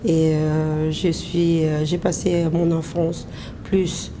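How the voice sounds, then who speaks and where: A woman's voice: low voice, little pitch movement, takes it slowly. A Congolese woman, an interview guest, answering in a hesitant, casual way with many fillers. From Congo (DRC). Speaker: interview guest, business owner in humanitarian work. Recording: noisy TV interview recording.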